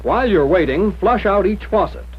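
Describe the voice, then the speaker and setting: A man's voice: high voice, expressive, moderate pace, breathy edge. An American man reading a scripted 1940s military instructional narration in a plain-spoken, direct, instructive manner. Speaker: training film narrator. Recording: muffled, dull 1940s film soundtrack.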